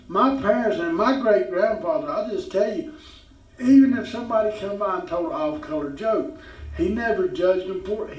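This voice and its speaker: Southern man in his fifties, roomy. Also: sits high. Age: 50s. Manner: rambling, folksy storytelling. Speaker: podcast host. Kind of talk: casual talk show chat. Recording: roomy, distant, dull sound.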